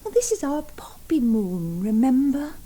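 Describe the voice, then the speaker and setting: An actress playing a romantic, wilful young bride-to-be in a period radio drama, gushing and imperious. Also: female voice, high voice, big pitch swings, unhurried, dark tone, exaggerated voice. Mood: reproachful. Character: romantic, wilful, theatrical fiancée, then neglected new bride.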